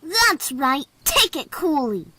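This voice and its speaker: A woman playing an excited young village boy who talks in an eager, insistent way. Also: high voice, takes it slowly, heavy background noise, heavily acted. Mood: teasing.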